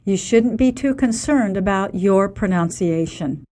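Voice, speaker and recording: A woman playing a teacher who gives clear, reassuring advice to a parent in a scripted textbook dialogue. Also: mid-range voice, expressive, a little slow, a little mellow, natural voice. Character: kindergarten teacher giving calm advice.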